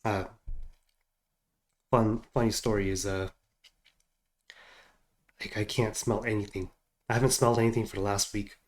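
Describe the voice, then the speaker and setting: A man's voice: lowish voice, level tone, moderate pace, long pauses. Stuffed-up man rambling through a reaction. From United States. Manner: rambling, hesitant with many fillers. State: stuffed up, congested. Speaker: reaction video host. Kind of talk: casual chat.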